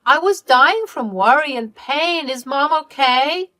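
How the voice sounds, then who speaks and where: A woman narrator acts out a scheming, spoiled younger sister in a dramatic story. She is indignant and whiny, pleading and accusing melodramatically in a higher, louder voice. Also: lively intonation, a little slow, full character voice. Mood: panicked pleading.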